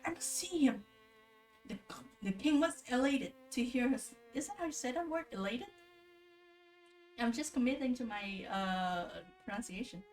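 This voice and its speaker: Female livestream storyteller, informal and rambling, halting delivery, heard at a distance from the mic over music. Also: fairly high pitch, expressive. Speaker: streamer reading folktales aloud. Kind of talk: casual livestream storytelling and commentary.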